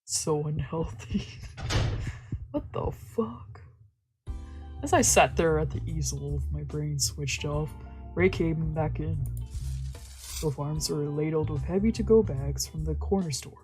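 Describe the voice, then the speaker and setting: A woman's voice: low-pitched, takes it slowly, pauses often, low-quality recording. A woman streamer reading a visual novel aloud as narration, with casual reactions of her own. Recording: faint background music.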